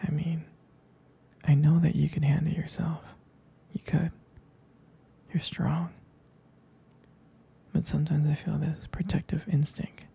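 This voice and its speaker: A man acts out a devoted, jealous boyfriend in an intimate ASMR roleplay. His voice is very dark and soft, full of gravelly fry. He confides slowly and tenderly, often dropping into a husky whisper. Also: a little high, heavily acted. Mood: hurt, jealous. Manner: slow, halting, tender, confiding. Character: protective, jealous, devoted young boyfriend soothing his partner.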